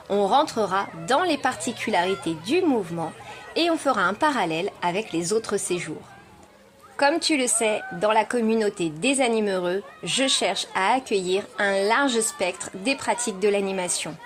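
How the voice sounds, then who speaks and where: A woman hosting a podcast, delivering scripted educational narration with playful asides, speaking informally and directly to the listener. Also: mid-range voice. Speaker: podcast host.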